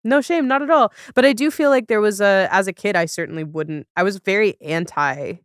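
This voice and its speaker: A woman's voice: mid-range voice, a little fast, clear, resonant tone, no room sound. Woman podcast host with a dry, close sound. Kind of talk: casual conversational interview.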